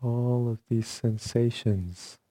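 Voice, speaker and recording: A man teaching meditation, giving calm, unhurried guided instructions in short phrases with long pauses between them. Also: medium pitch, no room sound.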